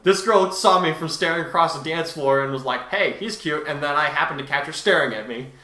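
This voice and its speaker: A man's voice: on the high side, brisk, sharp tone. A man in casual, chatty conversation, answering reviews as a co-host in an informal way. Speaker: fan fiction author and co-host. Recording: echoey room.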